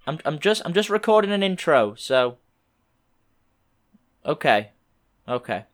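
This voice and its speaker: A young man in his twenties from England, hosting a podcast and talking through a rambling solo intro in a slightly croaky voice. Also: on the high side, unhurried. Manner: relaxed, rambling, with frequent fillers. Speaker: podcast host.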